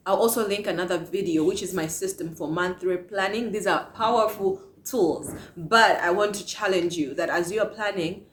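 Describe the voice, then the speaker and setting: A woman from Malawi giving a passionate motivational talk to camera, asking many rhetorical questions. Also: medium pitch. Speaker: vlogger. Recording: echoey room.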